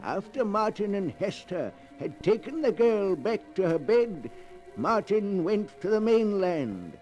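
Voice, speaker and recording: A solemn male storyteller on an old radio horror show. His voice is high for a man and he takes his time dramatically over each phrase as music plays faintly behind. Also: very animated, a little mellow, lo-fi sound. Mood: ominous. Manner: dramatic, deliberate. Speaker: narrator.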